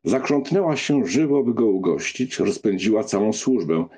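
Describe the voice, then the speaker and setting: A man reading a literary novel as an audiobook narrator, with an expressive storytelling delivery. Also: on the low side, moderate pace, breathy edge.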